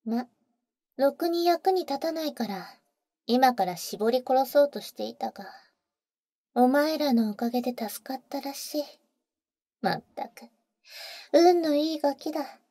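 Woman acting a husky, whispery vampire big sister. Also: high voice, expressive, a little slow, pauses often, no echo, studio quality, exaggerated voice. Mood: cold, defiant. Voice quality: soft, breathy, often whispering. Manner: husky, cool, boyish speech. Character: tender, protective older-sister vampire woman, bold and sorrowful. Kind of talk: Japanese ASMR audio roleplay. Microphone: close studio microphone, dry.

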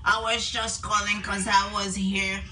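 Young woman, bright voice, casual prank caller, slightly distant mic, faint noise. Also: mid-range voice, flat delivery, measured pace. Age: young adult. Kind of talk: casual prank phone calls. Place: small indoor room at home. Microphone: camera a few feet away.

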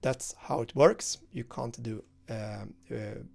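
Man, thirties, Swedish accent, slow, gravelly, halting with fillers, course walkthrough by webcam. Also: medium pitch, darkish tone. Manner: informal, halting, many fillers and restarts. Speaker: university course teacher. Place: indoor room, screen-share webcam inset.

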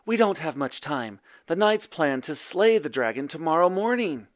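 A man's voice: a little high, big pitch swings, normal speed, voice pushed up. A man voicing a worried noble lady and mother in a storybook, urgent and resolute.

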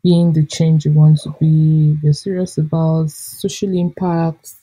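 A Nigerian woman with a somewhat low, husky voice, talking as a podcast co-host. Her tone is mellow and dark rather than bright, and she chats expressively, laughing easily. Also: measured pace, low-quality recording. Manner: chatty and expressive, laughs often. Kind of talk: casual book review chat.

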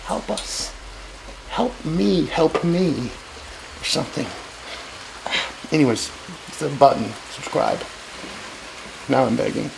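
Gravelly, brash man in a kitchen. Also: fairly high pitch, very animated, slow pace, pauses often, background noise. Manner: brash, profane and joking. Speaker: cooking show host. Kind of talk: casual cooking vlog. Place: home kitchen, indoors. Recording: sizzling frying pan behind.

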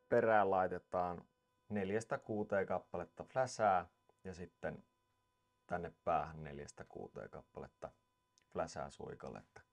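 A Finnish man in his thirties, plainly explaining step by step as he ties a fly indoors on a lapel mic. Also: low voice. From Finland. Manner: plain, matter-of-fact instructing. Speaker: fly tier. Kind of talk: step-by-step demonstration. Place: indoors at a tying table.

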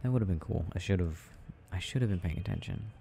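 A young man playing an affectionate, gently teasing boyfriend in an ASMR audio roleplay, murmuring and rambling as he games. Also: low-pitched, expressive, normal speed, gravelly, slight background noise. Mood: absorbed, rambling.

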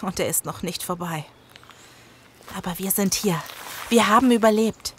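A woman's voice: mid-range voice, normal speed, fairly bright tone, a little hiss or hum, natural voice. A woman playing a weary, level-headed survivor of a zombie apocalypse in an audio drama. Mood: serious. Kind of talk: audio drama acting and narration.

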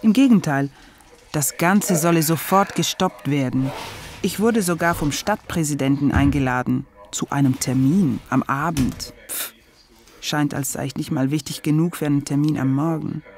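A woman's voice: low-pitched, normal speed, a little mellow, close to an everyday voice. A woman as a wry, sarcastic narrator. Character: broke, sarcastic female agency owner narrating. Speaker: narrator and lead.

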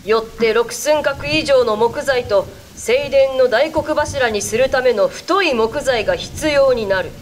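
A male actor playing an imperious, threatening samurai envoy, proclaiming loudly in a radio drama. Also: very high-pitched, a little slow, hardly any pauses, a little hiss or hum. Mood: commanding. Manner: loud, haughty proclaiming.

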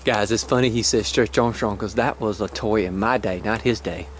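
A man's voice: fairly low pitch, normal speed, a little hiss or hum. A middle-aged man filming outdoors, teasing his partner with questions and talking to viewers. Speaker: co-host behind the camera. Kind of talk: casual banter, talking to viewers. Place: outdoor rural junkyard.

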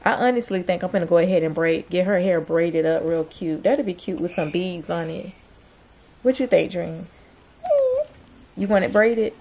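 A young woman chatting casually to camera and to her child as a vlogger, in informal, slangy, drawn-out phrases. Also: lowish voice, creaky, a little mellow, slight background noise. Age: young adult. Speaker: vlogger, mother. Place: small plain room indoors.

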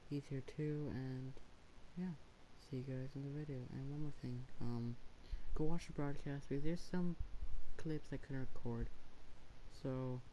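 A woman's voice: extremely low pitch, fairly even intonation, unhurried, warm tone, a little hiss or hum, rough recording. Giggly young woman gaming host. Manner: giggly, swears casually. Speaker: channel host. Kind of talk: casual gaming chat.

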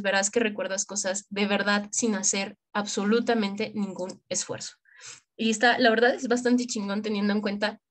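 A young adult woman from Mexico with a Mexican accent, giving an informal tutorial in colloquial, slangy language, speaking straight to the listener. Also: mid-range voice. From Michoacán, Mexico. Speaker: tutorial presenter for medical students. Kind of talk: informal screen-share tutorial.

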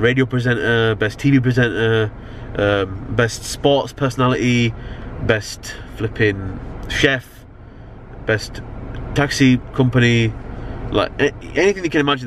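A man's voice: mid-range voice, moderate pace, a bit bright. Young British man vlogging in a noisy car. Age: young adult. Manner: rambling, slangy, frequent "you know what I mean". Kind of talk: casual chat to camera. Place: inside a car at night. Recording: car ambience, clear background noise. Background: car and outdoor urban noise.

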